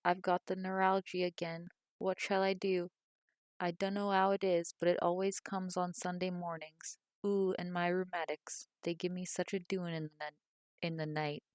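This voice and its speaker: A woman's voice: level tone, moderate pace, no room sound, clean studio sound, lightly acted. A woman voicing a cantankerous, self-pitying old working-class mother with a Cockney accent, complaining and scolding. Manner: complaining, shrill when angry.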